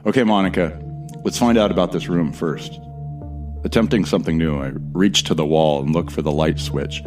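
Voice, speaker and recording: Male actor as determined but nervous explorer, extremely low voice, first-person audio drama narration, confiding, wry, colloquial, music underneath. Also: normal speed. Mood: apprehensive. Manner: descriptive, confiding, colloquial with swearing.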